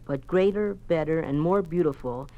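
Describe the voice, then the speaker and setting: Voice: mid-range voice, normal speed, narrow, tinny audio, natural voice. An actor with an American accent playing an earnest, naive young schoolboy in a 1950s radio drama. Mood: solemn reciting. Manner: eager, naive, polite. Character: earnest, eager young schoolboy, a Boy Scout and obedient son.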